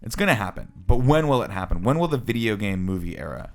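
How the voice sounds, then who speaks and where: Male podcast co-host, thirties, American, gravelly and quick, rambling explainer in casual panel chat. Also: fairly low pitch, very animated. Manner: earnest, rambling explainer who poses questions. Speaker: podcast co-host introducing his topic.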